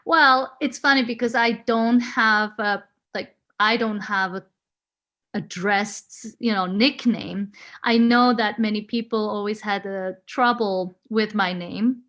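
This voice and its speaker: A woman's voice: mid-range voice, steady tone, slow pace, a bit bright. Woman in her forties, laughing often. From Switzerland. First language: Swiss German and German. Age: 40s. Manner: friendly, laughs often, searches for words. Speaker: guest. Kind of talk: casual interview.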